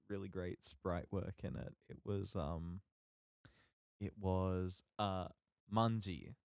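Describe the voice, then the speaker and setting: A man co-hosting a podcast, chatting casually and haltingly as he thinks aloud with lots of ums. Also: low-pitched, darkish tone, no echo, studio quality. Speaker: podcast co-host.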